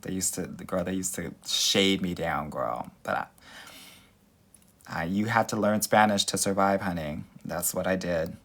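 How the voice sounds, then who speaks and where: A young man from Southern California, a dating coach answering viewer questions on a live stream, sassy and animated, calling listeners girl and honey. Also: deep voice, unhurried. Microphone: phone.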